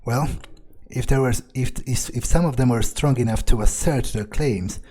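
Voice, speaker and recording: Man roleplaying a mellow, brooding, cynical vampire. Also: medium pitch, slightly rough, lightly acted. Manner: halting, brooding, thinking aloud. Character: cynical, foul-mouthed male vampire musing on power. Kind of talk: improvised in-character game roleplay.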